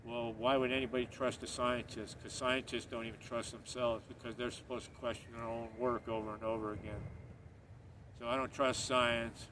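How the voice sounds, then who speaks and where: Middle-aged man from Northern Ireland, rambling vlogger, monotone, recorded from off-mic outdoors. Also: mid-range voice, moderate pace. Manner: earnest, rambling, repeats himself. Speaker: channel host. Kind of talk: casual monologue to camera. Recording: distant mic, street noise.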